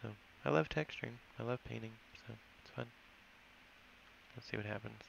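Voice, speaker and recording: A man's voice: medium pitch, moderate pace, vocal fry, dull audio, slight background noise. An American man from California, rambling and correcting himself as he thinks aloud in a casual game dev log recorded at his computer. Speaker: game developer.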